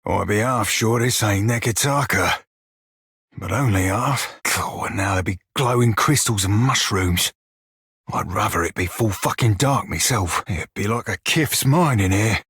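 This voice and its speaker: An actor voicing a wry, foul-mouthed pirate shiphunter in a video game, speaking a colloquial sailor's dialect with a gritty, husky voice. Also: male voice, fairly low pitch, unhurried, a bit bright, no echo, clean studio sound, heavily acted. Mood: wry, casual. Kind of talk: video game character dialogue.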